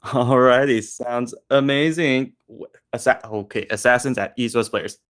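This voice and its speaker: A man's voice: medium pitch, fairly even intonation, a little slow, fairly bright tone. A man hosting a casual discussion in a polite manner. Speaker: discussion host.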